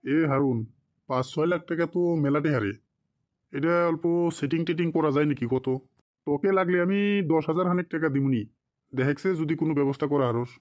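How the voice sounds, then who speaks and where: A man as a high-pitched, scolding cartoon office boss. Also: fairly quick, a little mellow, dull audio, lightly acted. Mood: scheming. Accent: rural Bengali dialect. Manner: brusque, scolding, condescending. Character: stingy, scheming, bullying male office boss. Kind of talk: amateur cartoon drama dialogue.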